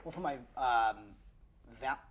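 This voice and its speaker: A woman with a low voice plays in a tabletop roleplaying game. Her delivery swings widely in pitch, casual and playful as she improvises in character. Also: expressive, slow, slight background noise.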